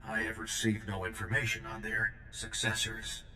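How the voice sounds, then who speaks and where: Echoey monotone guard droid. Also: male voice, on the low side, moderate pace, slight background noise. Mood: formal, flat. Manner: formal, procedural, flat delivery. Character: ancient male security droid guarding an archive, formal, literal. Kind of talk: game character dialogue.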